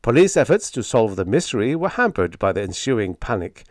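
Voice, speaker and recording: A man playing a male radio announcer reading an urgent bulletin in a clear, crisp, ominous manner. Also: medium pitch, sing-song, brisk, darkish tone, dry recording, studio quality, lightly acted. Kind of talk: old-time radio bulletin.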